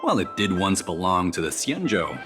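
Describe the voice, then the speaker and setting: A deep-voiced formal trade envoy. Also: male voice, very animated, fairly quick. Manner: formal, correcting politely. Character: formal, proud male off-world trade envoy. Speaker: visiting trade delegate. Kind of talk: video game voice acting. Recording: game cutscene over music. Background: background music.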